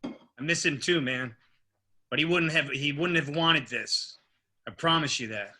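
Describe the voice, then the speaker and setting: A man acts out a kind young police officer in a film drama read. The voice is very bright and forward, a bit higher than average, with a steady, sincere manner. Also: a little slow, full character voice. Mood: gentle, earnest. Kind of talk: table read of a film script.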